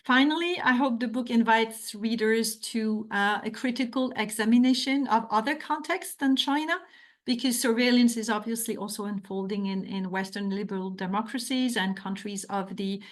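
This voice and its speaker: A woman's voice: fairly high pitch, normal speed. A middle-aged woman from France with a French accent, giving an academic talk and answering questions, earnest and full of restarts. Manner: earnest, hesitant with frequent restarts. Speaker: academic author.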